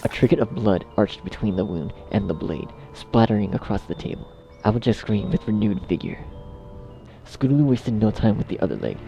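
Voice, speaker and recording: A man reads a scripted horror story as narrator. His voice is low with heavy creak, set over clearly audible music. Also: lively intonation, brisk.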